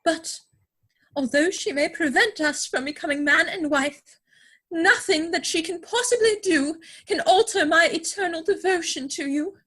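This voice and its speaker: A woman acting a high-voiced, grand Victorian young lady. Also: normal speed, bright, forceful tone. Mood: dramatic. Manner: precise, haughty, theatrical declamation. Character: grand, self-assured, romantic young Victorian society lady. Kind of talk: stage comedy dramatic reading. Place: home, recorded over video call.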